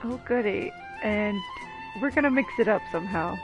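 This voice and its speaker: A woman's voice: mid-range voice, animated, normal speed, rough recording. A woman streamer giving casual playthrough commentary, full of sarcastic, teasing asides to the characters. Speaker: game streamer. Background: video game music.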